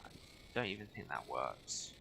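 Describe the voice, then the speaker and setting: A man's voice: extremely low pitch, sing-song, normal speed, gravelly, bright, forceful tone, slight background noise. A man giving casual let's play commentary on a video game, with dry, wry asides muttered to himself. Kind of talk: casual game commentary, some reading aloud of game text.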